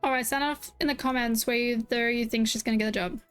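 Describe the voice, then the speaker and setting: Female gaming streamer, casual jokey commentary, fairly high voice. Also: fairly even intonation, fairly quick, clean tone, soft background music. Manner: chatty, jokey, talks to viewers. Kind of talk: game reaction commentary.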